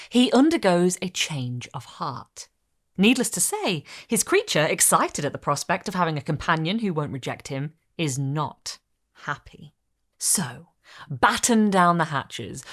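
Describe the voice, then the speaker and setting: A woman hosting a candlelit reading series, reading a gothic novel aloud in a theatrical way and sometimes dropping to a hush. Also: lowish voice. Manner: theatrical, hushed drops to a whisper. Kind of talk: dramatic reading of gothic fiction.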